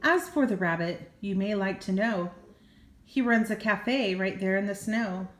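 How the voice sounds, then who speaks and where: A woman's voice: medium pitch, measured pace. An older woman, a grandmother, reading a children's story expressively with small asides, in an echoey room. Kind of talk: children's storybook read-aloud.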